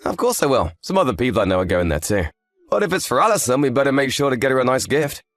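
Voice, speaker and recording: Man acting an earnest, quick-talking young husband. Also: mid-range voice, very animated, dry recording, natural voice. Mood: chatty, frank. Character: earnest, grateful young husband, anxious yet frank. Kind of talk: scripted dialogue for an online story drama.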